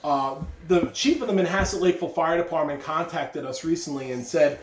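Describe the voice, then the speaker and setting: A man's voice: fairly high pitch, a little fast, a little hiss or hum. A man speaking emphatically and directly to children, giving school announcements and telling stories. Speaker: school announcer reading aloud. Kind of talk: morning announcements and storytelling for children. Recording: echoey room, distant microphone.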